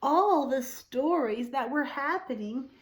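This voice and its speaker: A woman reading a picture book aloud to young children, pausing to explain things simply and ask questions. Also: sits high. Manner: expressive, asks the listener questions, explains simply. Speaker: storytime reader for young children.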